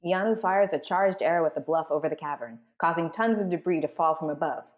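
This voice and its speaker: A woman's voice: deep voice, fast. A woman hosting a live table read and narrating the scripted scenes. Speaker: host and narrator.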